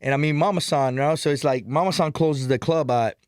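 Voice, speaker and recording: A middle-aged Cuban man speaks with a strong Cuban Spanish accent, fairly high in pitch and full of emphasis. He tells his stories animatedly, repeating words and calling out "brother." The sound is dry and close to the mic. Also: fast talker, a little mellow, clean tone, no echo. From Cuba. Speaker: interview guest. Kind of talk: podcast interview storytelling.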